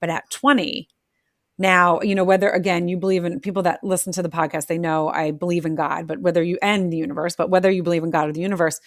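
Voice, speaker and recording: A woman's voice: on the low side, level tone, fast talker, dry recording, clean studio sound. A woman hosting a live audio interview, effusive and rambling, with many restarts as she talks to her guest. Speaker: podcast host. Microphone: remote audio on a social audio app.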